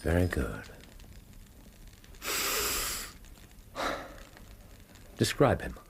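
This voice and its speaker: An English actor playing a commanding Victorian detective in a radio drama, terse, precise and authoritative. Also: male voice, deep voice, big pitch swings, moderate pace, vocal fry, a little hiss or hum, heavily acted. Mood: terse, grave. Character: commanding, incisive male Victorian private detective. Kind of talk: British radio drama acting.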